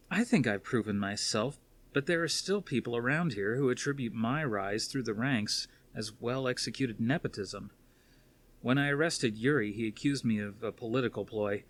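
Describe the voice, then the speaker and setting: A man's voice: medium pitch, a bit bright, close to an everyday voice. A man voicing an earnest, frustrated young pilot, the commander's son, in a story reading.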